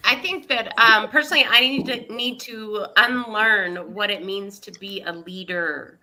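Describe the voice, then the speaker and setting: A woman's voice: medium pitch, a little slow, pushed and bright, poor audio. A woman reflecting aloud as a panelist in a discussion. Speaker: podcast panelist.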